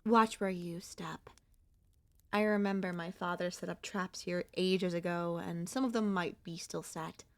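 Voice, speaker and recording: Woman voicing a soft, hesitant young monster girl, close and dry. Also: lowish voice, steady tone, unhurried, no echo. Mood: calm, reminiscing. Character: young female centipede monster girl, gentle, wistful, anxious. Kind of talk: ASMR audio roleplay.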